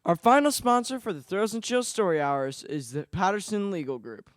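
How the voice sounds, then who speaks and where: A man's voice: sits high, lively intonation, moderate pace, no echo, studio quality. A young male student hosting a radio show with scripted announcements. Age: young adolescent, middle school student.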